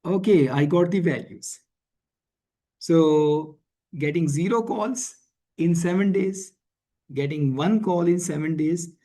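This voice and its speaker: Slow, mellow male tutorial instructor. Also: fairly high pitch. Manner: halting, thinking aloud, often checks with "right?". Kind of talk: screencast programming and statistics tutorial.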